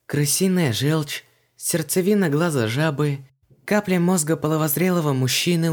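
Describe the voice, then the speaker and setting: A man voicing an excitable, bossy and squeamish young woman in an audiobook. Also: a little high, pitched above the performer's own voice, natural voice. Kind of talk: audiobook character voice.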